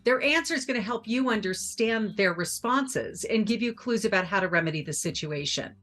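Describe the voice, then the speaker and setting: A middle-aged woman appearing as a parenting expert on a TV talk show, explaining her advice calmly and clearly. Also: medium pitch, moderate pace, soft background music. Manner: calm, explanatory, warm advice-giving.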